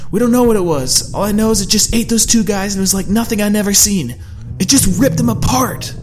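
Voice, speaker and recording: A man's voice: sits high, steady tone, moderate pace, a little mellow, slight background noise, voice pushed up, close to an everyday voice. A man voicing a wounded young man survivor who is still shaken and short of breath. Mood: defensive. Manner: breathless, urgent.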